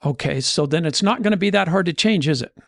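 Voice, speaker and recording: A man's voice: fairly high pitch, quick pace, gravelly, dry recording, studio quality. A man speaking conversationally as a podcast host and financial coach, motivational in style, often voicing imagined inner dialogue to make his points. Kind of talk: conversational motivational money talk.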